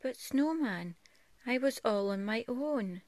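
A woman's voice: on the high side, lively intonation, slow, darkish tone, no echo, pitched above the performer's own voice. A woman voicing an eager, trusting young boy rabbit from a storybook in a childlike, pleading manner. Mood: plaintive.

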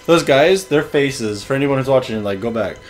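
Young male let's-play host, lively up-and-down voice, excitable, cursing at the game. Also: mid-range voice, moderate pace. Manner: excitable, swearing, frustrated outbursts. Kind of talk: casual gameplay commentary.

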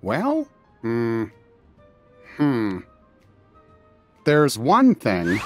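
An actor playing a friendly, loyal toy cowboy leader in a video game, with an easygoing, folksy, reassuring manner. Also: male voice, medium pitch, huge pitch swings, very slow, faint music behind, heavily acted. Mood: wistful. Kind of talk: animated video game dialogue.

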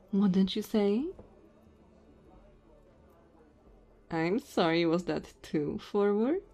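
A woman's voice: lowish voice, dark tone. A woman voicing a tipsy, flirty female goblin innkeeper in an ASMR audio roleplay, teasing and intimate. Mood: flirty, coaxing. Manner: teasing, intimate, drawn-out drunken musing.

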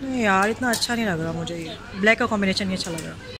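A woman's voice: mid-range voice, quick pace, crowd noise. A young woman chatting casually to her viewers in a vlog, often slipping English words into her talk. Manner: chatty, mixes English into Urdu, keeps addressing viewers. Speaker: vlogger. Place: shopping mall, then home kitchen. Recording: noisy handheld vlog recording.

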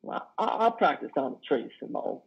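A man in his thirties playing a lonely, guilt-ridden, simple-minded trucker in a play reading, with halting, folksy speech. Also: medium pitch, very animated, gravelly, slightly rough, exaggerated voice. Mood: excited. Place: indoor room on a video call. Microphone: headset. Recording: muffled video-call audio.

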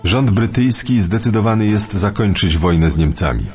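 Man acting deep, formal army major. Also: lively intonation, normal speed, darkish tone, close to an everyday voice. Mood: firm, formal. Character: formal British army major stating government terms. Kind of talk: radio docudrama acting. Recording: dull sound over music bed.

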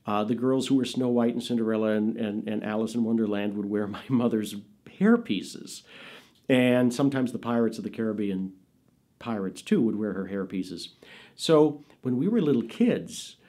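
Lively storytelling middle-aged man. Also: on the low side, moderate pace. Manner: animated, expressive storyteller. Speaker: interviewee. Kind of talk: casual storytelling.

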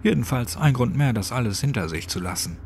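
A man voicing a gruff, impatient old man with a brash, mocking manner in a horror audiobook. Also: mid-range voice, lively intonation, a little fast, darkish tone, slight background noise, natural voice. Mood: dismissive.

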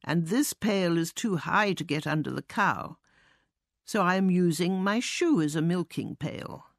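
A woman's voice: lowish voice, expressive, a little slow, no echo, clean studio sound. A woman voicing a jovial, scornful male dairyman in a storybook reading. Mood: matter-of-fact. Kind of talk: storybook character voice.

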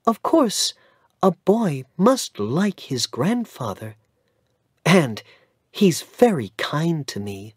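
A man voicing a sweet, loving young boy in a children's storybook reading, in a high, wondering, childlike voice. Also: very animated, takes it slowly, breathy edge, dry recording, clean studio sound, exaggerated voice. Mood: earnest.

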